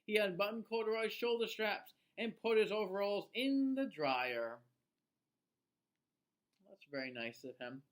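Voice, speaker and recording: A man's voice: high voice, animated, measured pace. A man narrating a children's storybook aloud like a teacher, expressively and with small reactions for young listeners.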